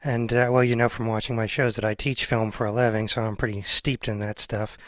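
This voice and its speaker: A man's voice: medium pitch, flat delivery, fairly quick, no echo. American man hosting, close dry sound. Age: 30s or 40s. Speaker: podcast host, film teacher. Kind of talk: casual chat, interviewing.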